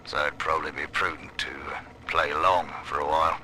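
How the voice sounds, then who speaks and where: An actor playing a cynical, self-serving prison boss, wry and callous, in a video game audio diary. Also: male voice, extremely low pitch, steady tone, brisk, sharp tone, slight background noise, full character voice. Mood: smug, wry.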